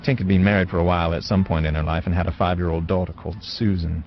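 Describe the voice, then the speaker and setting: An actor playing a wistful man who recalls his youth in measured, literary first-person dramatic narration. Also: sits low, steady tone, slight background noise. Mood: wistful, reflective. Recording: dull lo-fi audio with music. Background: music.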